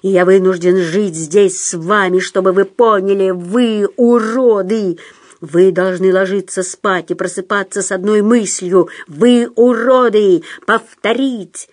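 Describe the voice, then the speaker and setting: A brutal ward tyrant acted out by a woman reading an audiobook speaks with hoarse menace. He drags out his orders slowly, hissing and barking at those beneath him. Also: medium pitch, expressive, lightly acted. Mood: enraged. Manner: menacing, hissing and shouting commands. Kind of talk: audiobook character voice.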